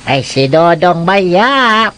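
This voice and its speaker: A woman's voice: fairly low pitch, lively intonation, extremely slow. A woman playing a joking, deferential, jittery friend in a radio drama, stammering and repeating words. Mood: teasing. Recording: faint noise behind the voice.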